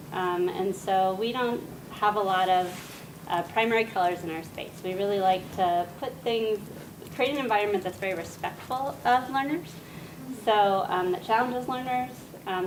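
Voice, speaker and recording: A soft-spoken woman gives a lecture, her quiet voice picked up from a distance. She pauses often with small fillers as she explains. A steady hiss of room noise sits under her words. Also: medium pitch. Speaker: guest speaker. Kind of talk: academic talk to an audience. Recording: distant mic with noisy room.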